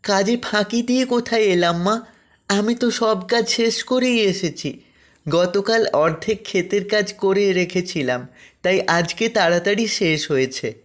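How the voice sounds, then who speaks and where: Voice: mid-range voice, a little slow, lightly acted. A voice actor playing a meek, hardworking foster son in a cartoon, speaking earnestly. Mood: hurt.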